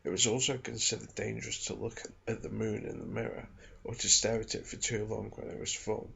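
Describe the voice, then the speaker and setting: A man with a low, very creaky voice reads aloud in a hushed ASMR style. His delivery is measured and soft-spoken, with a heavy gravelly fry running through it. The home recording sounds a little dull. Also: level tone. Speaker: ASMR reader and channel host. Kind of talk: ASMR reading aloud from a folklore book. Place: small home studio in a back bedroom.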